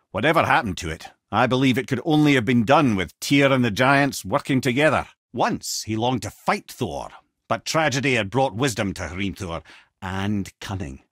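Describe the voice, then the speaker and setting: An actor playing a witty, learned old Scottish companion in a video game, telling lore with an eloquent, lilting, theatrical delivery and a husky edge. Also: male voice, mid-range voice, a bit bright, dry recording, clean studio sound. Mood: grave storytelling. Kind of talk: video game character dialogue and lore storytelling.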